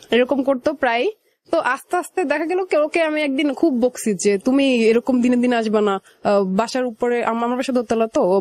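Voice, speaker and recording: A woman's voice: on the high side, a little mellow, no echo. A young woman with a Bangladeshi accent, telling a personal story conversationally in an interview, slipping into remembered dialogue. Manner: conversational, quotes past dialogue as she narrates. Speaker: guest telling own life story. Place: radio studio.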